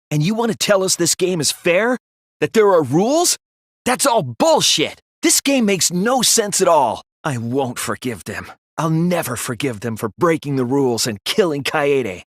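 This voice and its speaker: A man voicing an earnest, analytical teenage boy detective in an English video game dub, speaking in a deliberate, resolute way. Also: a little high, no room sound, clean studio sound. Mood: furious outrage, then grim resolve. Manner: deliberate, earnest, explanatory delivery.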